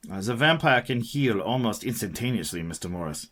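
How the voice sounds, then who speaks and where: A man's voice: fairly low pitch, expressive, fairly quick, a bit bright. A man acting a resolute, pious older professor and vampire hunter, speaking formally in a radio play. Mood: grave, reassuring. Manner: formal, old-fashioned foreign phrasing.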